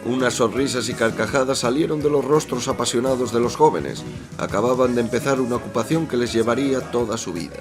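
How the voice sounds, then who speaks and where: A man's voice: medium pitch, a little fast, natural voice. A man narrating a radio soap in a florid, mock-solemn storytelling manner. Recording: music behind the voice.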